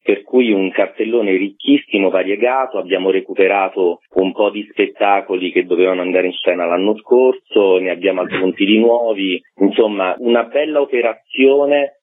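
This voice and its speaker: A man's voice: sits low, moderate pace, few pauses. A man speaking as a festival director in an informative radio interview. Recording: tinny narrow phone line on radio.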